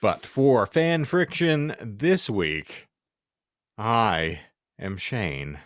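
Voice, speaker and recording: Male podcast host, lowish voice, very lively intonation, wordy mock-exasperated banter, dry close sound. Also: drawn-out words, no room sound. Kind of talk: casual comedic podcast banter.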